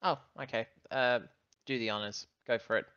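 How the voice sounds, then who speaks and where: A man's voice: medium pitch, fairly even intonation, moderate pace, sharp tone, clean tone, no room sound, lo-fi sound. A man speaking casually as a player in a tabletop role-playing game.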